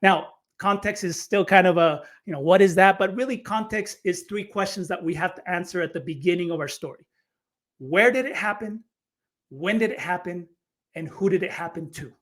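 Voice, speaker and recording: A man's voice: high-pitched, moderate pace, a bit bright. A man from Chile, a storytelling coach, explaining his method with energy in a podcast interview, often repeating words as he starts a phrase.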